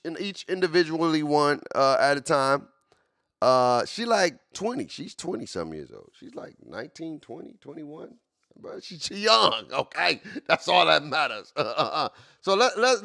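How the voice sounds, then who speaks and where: A man's voice: on the high side, gravelly, a bit bright, no room sound, clean studio sound. Hyped streamer man in his forties. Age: 40s. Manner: repetitive, hyped, keeps addressing chat. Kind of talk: casual livestream chat.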